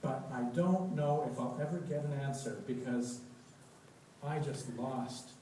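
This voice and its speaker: A man reading a story aloud to children in an expressive, storytelling way. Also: medium pitch, unhurried. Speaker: story reader leading children at a church. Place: large echoey indoor church space. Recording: echoey room, distant mic, faint noise.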